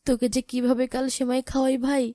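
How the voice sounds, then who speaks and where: Woman doing poor orphan big sister in a cartoon, high mellow voice, sad and caring, resigned. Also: completely flat delivery, fast, dry recording, clean studio sound, lightly acted. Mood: sorrowful, resigned. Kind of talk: cartoon drama voice acting.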